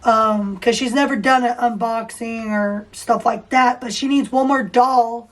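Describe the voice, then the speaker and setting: A hoarse woman hosting a casual podcast, rambling with fillers and restarts. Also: fairly high pitch, fairly even intonation, a little slow. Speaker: podcast host. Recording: voice distant from the mic.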